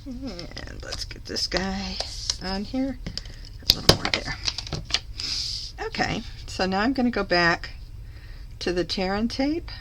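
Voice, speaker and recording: Slow, chatty woman with a lingering cough. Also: medium pitch, animated, heavy background noise. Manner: chatty, self-correcting, talks while working. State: recovering from a cough, slightly hoarse. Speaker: papercraft demonstrator. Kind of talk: live craft tutorial.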